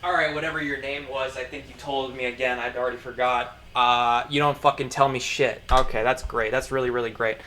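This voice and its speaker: A man giving casual, profane running commentary, muttering and then breaking into sudden loud outbursts, his throat strained. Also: mid-range voice, steady tone, brisk, few pauses, slight background noise. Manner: swears a lot, mutters, jumps to loud outbursts. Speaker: streamer reacting to videos.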